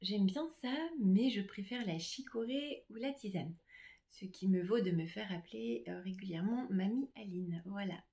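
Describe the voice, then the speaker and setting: A middle-aged woman, a reflexologist, talking casually about herself to her clients in a gentle, hesitant way with many pauses. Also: mid-range voice, vocal fry. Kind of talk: casual self-presentation to clients.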